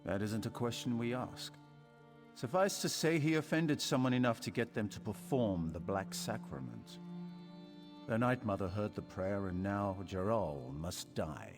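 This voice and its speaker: A man's voice: lowish voice, expressive, a little slow, soft background music. An actor playing a sinister, solemn male recruiter for an assassin cult in a video game, with theatrical, silky menace. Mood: silky, commanding.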